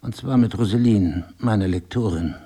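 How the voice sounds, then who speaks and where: An actor playing a calm, ironic, philosophical husband in a German radio drama, speaking in a measured and eloquent, lecturing way. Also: male voice, on the low side, breathy edge. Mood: dry, reproachful.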